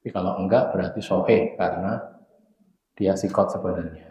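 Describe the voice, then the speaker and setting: A hoarse man giving a religious study lesson, explaining narrator chains in a measured, teacherly way. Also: low-pitched, mellow, a little hiss or hum. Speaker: hadith teacher. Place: mosque study room. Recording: echoey room, dull lo-fi sound.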